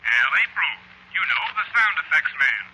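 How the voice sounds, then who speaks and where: An actor playing an offhand, smug gossip talking on a telephone in an old-time radio drama. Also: male voice, fairly high pitch, very animated, moderate pace. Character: offhand, smug, gossipy man on a phone line. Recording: hissy vintage radio, telephone-line sound.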